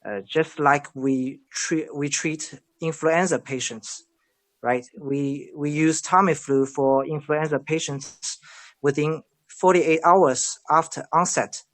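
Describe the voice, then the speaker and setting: Slow, hesitant Chinese doctor. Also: male voice, fairly high pitch, fairly even intonation, a bit bright. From China. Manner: measured, hesitant, frequent fillers. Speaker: hospital doctor. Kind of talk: expert panel discussion.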